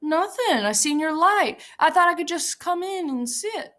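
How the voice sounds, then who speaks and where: A woman's voice: extremely high pitch, animated, a little slow, a bit bright, voice pushed up, full character voice. A woman voicing a big, simple-minded, childlike male ranch hand who talks in an eager, pleading, repetitive way.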